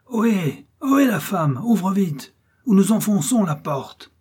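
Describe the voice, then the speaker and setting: A man voicing an impatient, threatening brigand in a storybook, with a hoarse, angry delivery. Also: high voice, very animated, slow, a bit bright, pitched above the performer's own voice, exaggerated voice. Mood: furious.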